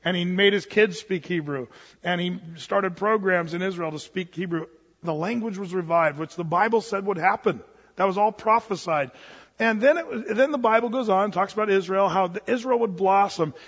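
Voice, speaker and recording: A man's voice: high voice, normal speed, a bit bright. A man giving an earnest, rambling talk on Bible prophecy, with many fillers and restarts. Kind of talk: informal preaching talk. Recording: dull lo-fi sound with long hall reverb.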